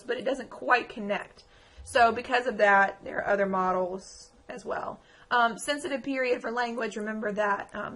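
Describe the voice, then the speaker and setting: A woman lecturer with a croaky voice, giving a conversational exam review with frequent ums. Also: mid-range voice, fairly bright tone. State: croaky, recovering from lost voice. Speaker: psychology lecturer.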